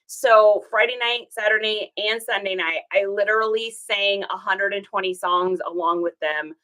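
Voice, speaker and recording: A woman with a slightly hoarse, rough voice, hosting a casual livestream and chatting upbeat with viewers, greeting them by name. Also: medium pitch, steady tone, moderate pace, bright, forceful tone, low-quality recording. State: hoarse from singing. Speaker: reseller livestream host.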